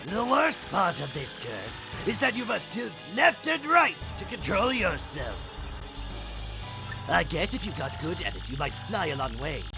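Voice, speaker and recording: A man voicing a gleeful, taunting evil spirit in a game dub, creaky and theatrical as he gloats over his curse. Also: high voice, big pitch swings, a little slow, music in the background, full character voice. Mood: grudging explaining. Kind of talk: cartoonish video game dub acting.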